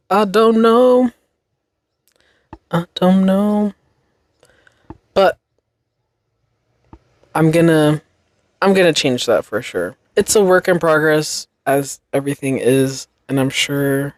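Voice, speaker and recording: Slow, reflective young woman. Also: low voice, darkish tone, dry recording. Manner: slow, reflective, rambling with fillers. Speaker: podcast host. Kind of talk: casual solo podcast monologue.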